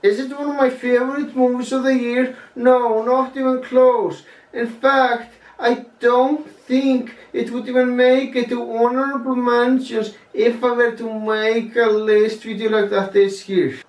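A man's voice: very high voice, little pitch movement, measured pace, hardly any pauses. A young man giving a casual, blunt movie review, swearing freely as he talks it through. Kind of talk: casual spoken film review. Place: small plain room indoors, seated. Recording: roomy, mic at a distance.

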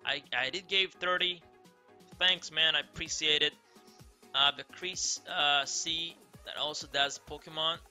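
A man's voice: on the high side, fairly even intonation, measured pace, sharp tone, soft background music. A young man from Greece with a Greek accent, chatting loosely with viewers on a game live stream, full of fillers and "crazy". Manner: loose and rambling, many fillers, keeps saying crazy. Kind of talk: casual live stream chat, reading viewer comments. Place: indoor room, seen on webcam.

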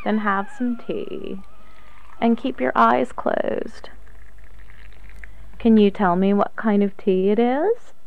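An actress playing an earnest, caring activist wife in a radio drama, with a questioning manner. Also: female voice, mid-range voice, slow, pauses often, narrow, tinny audio, a little hiss or hum. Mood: concerned, caring. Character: caring, earnest activist wife looking after her husband.

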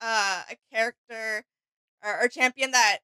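A woman's voice: a little high, unhurried, pushed and bright, clear, resonant tone, poor audio. A young woman co-hosting a reaction video, talking casually in a small room. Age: young adult. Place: small indoor room with gaming setup.

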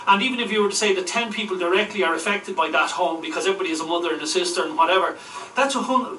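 High, bright, emphatic man. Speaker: campaigner interviewee. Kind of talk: interview argument. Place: outdoors on courthouse steps. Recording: roomy, slightly distant, faint noise.

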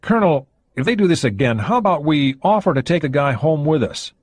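A male narrator playing a cocky young American lieutenant who cracks jokes in a relaxed way. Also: a little high, a little fast, no echo, pitched above the performer's own voice. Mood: amused. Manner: wisecracking, relaxed.

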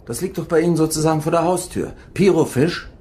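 An actor playing a blunt, impatient German investigator in a radio crime drama. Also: male voice, on the high side, quick pace, mellow, a little hiss or hum, close to an everyday voice. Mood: confrontational.

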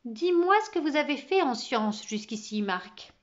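A woman's voice: sits high, expressive, measured pace, dull audio, voice pushed up. A woman reading a children's storybook, voicing a cheerful, exuberant, eccentric female teacher with sing-song, enthusiastic exclamations. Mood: brisk, cheerful.

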